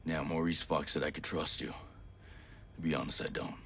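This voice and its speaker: Very deep, commanding soldier. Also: male voice, fairly even intonation, normal speed, vocal fry, fairly bright tone, slight background noise, heavily acted. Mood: firm, guarded. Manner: terse, commanding. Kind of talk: video game cutscene acting.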